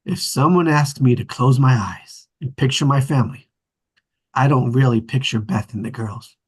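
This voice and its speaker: An actor playing an earnest, sentimental brother and family man with a husky voice in a drama table read. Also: medium pitch, moderate pace, darkish tone, close to an everyday voice. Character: earnest, sentimental grown brother, husband and father.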